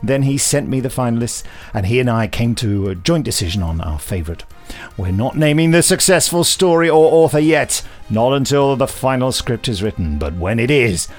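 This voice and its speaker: A man's voice: medium pitch, lively intonation, moderate pace, hardly any pauses, soft background music. A man hosting a podcast, moving between scripted announcements and playful, enthusiastic chat. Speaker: podcast host.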